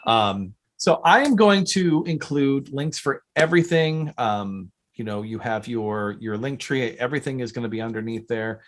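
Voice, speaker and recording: A man's voice: medium pitch, animated, unhurried. Salty, opinionated male podcast host. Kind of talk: casual interview chat.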